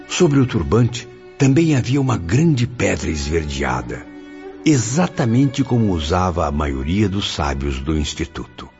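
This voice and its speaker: A man's voice: sits low, a little mellow, exaggerated voice. An actor playing a spirit recounting his memories with solemn admiration, with a Brazilian accent, in a radio drama. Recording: muffled audio with music.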